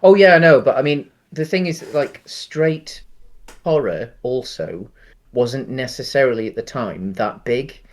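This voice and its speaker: A man's voice: mid-range voice, animated, measured pace. A man co-hosting a casual film discussion, talking in an explanatory, hedging way. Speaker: podcast co-host.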